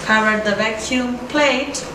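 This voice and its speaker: Female product demonstrator, thirties, higher-pitched, unhurried instructional read, distant mic in a noisy showroom. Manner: deliberate, instructional. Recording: echoey, distant camera mic, noisy room.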